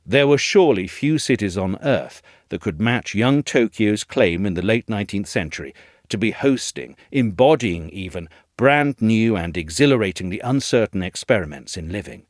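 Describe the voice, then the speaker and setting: Guy narrating an essay, really varied melody in his voice, measured storytelling, super dry close recording. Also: fairly low pitch, expressive, no echo.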